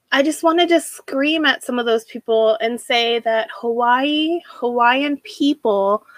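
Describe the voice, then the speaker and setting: A woman from Washington state with an American accent, giving a reflective personal monologue in a halting way with emphatic repeated phrasing. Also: a little high, fairly bright tone, no room sound. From Washington state, United States. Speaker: speaker sharing a personal story.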